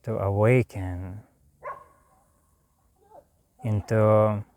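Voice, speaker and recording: A man from Lithuania with a Lithuanian accent, giving a calm, meditative spiritual talk in a slow, soft way with long pauses. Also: fairly low pitch, a little hiss or hum. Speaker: spiritual teacher.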